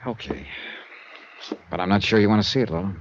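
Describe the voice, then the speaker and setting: A man's voice: fairly low pitch, fairly quick, slight background noise, natural voice. An actor playing a wry, world-weary male insurance investigator who narrates his own case in a 1950s radio drama. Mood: subdued. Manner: wry, laconic first-person narration. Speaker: narrator and lead character. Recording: dull old radio transcription.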